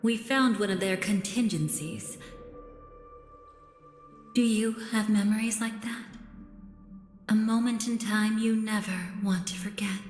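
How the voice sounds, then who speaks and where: A woman's voice: medium pitch, steady tone, a little slow, pauses often, darkish tone, natural voice. A woman voicing an enigmatic, elegant young woman in a video game, coolly playful and teasing, musing in a languid, coy, theatrical way. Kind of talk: scripted video game voice acting. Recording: roomy long reverb with music behind.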